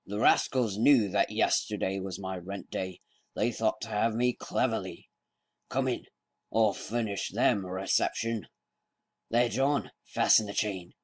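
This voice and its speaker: A man's voice: fairly low pitch, measured pace, no echo. A man voicing a pompous, fussy older magistrate, in an audiobook reading.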